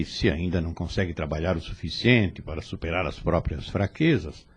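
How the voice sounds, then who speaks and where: Casting: male actor for fatherly Brazilian spirit guide, low-voiced, solemn, with sweeping intonation, audio drama. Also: a little mellow, slightly rough, muffled recording, exaggerated voice. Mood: gently instructing. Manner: solemn, measured, formal exhortation. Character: serene, fatherly male spirit mentor, kindly and preaching. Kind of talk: religious audio drama acting.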